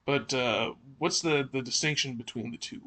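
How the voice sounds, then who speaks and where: A man co-hosting a podcast, chatting and asking questions in a halting, thinking-aloud way. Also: mid-range voice, pushed and bright. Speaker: podcast co-host.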